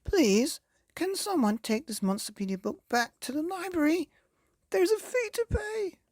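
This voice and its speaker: A man voicing a meek storybook character who pleads for help in a shrill, polite panic. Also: lively intonation, measured pace, no echo, studio quality. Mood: panicked pleading.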